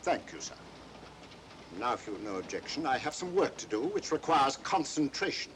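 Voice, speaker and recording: A man's voice: mid-range voice, sing-song, normal speed, close to an everyday voice. An actor playing an aloof, impatient male professor, curt and formal, in an old mystery film. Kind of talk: 1940s mystery film acting. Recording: hissy old film soundtrack.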